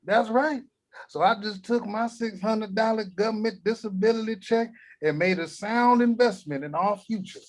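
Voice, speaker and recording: Brief: man playing foul-mouthed old granddad, raised voice, gruff wisecracks. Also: sits high, normal speed, close to an everyday voice. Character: crude cranky old grandfather, scheming. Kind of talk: table read.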